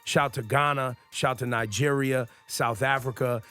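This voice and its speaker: A man's voice: mid-range voice, steady tone, measured pace, a bit bright, clean tone, soft background music. A man co-hosting a radio talk show, making earnest points and challenging the others with questions. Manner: earnest, argues by posing questions. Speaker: radio co-host.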